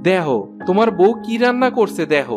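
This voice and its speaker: A man's voice: sits high, lively intonation, a little fast, darkish tone, faint music behind. A man voicing a demanding village farmer in a cartoon, with a rural eastern Bengali accent. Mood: annoyed. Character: demanding village farmer, grown son and husband. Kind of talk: cartoon character acting.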